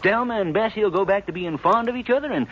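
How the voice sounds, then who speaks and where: A man's voice: high-pitched, fast talker, muffled recording, lightly acted. An American man playing a flustered, wheedling small-town undertaker in a 1950s Western radio drama, stammering and rustic as he sweet-talks. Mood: earnest, coaxing. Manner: stammering, rustic, excitable, persuasive. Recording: 1950s radio recording with faint noise.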